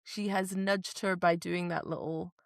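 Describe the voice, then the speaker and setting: A woman's voice: lowish voice, steady tone, measured pace, vocal fry, no echo, studio quality. A woman co-hosting a podcast, recapping and explaining a book in a casual, conversational way. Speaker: podcast co-host.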